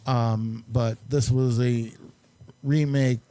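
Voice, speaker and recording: A man's voice: mid-range voice, fairly even intonation, slow pace, mellow. A man hosting a casual chat and reviewing movies in a rambling, hesitant way. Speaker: host and amateur filmmaker.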